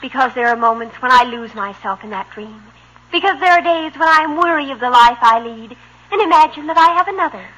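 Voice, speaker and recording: A woman's voice: high-pitched, expressive, a little fast, sharp tone, exaggerated voice, rough recording. A woman playing a dreamy, stage-struck teenage American girl in a 1950s radio sitcom, theatrical and over-earnest. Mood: wistful, melodramatic.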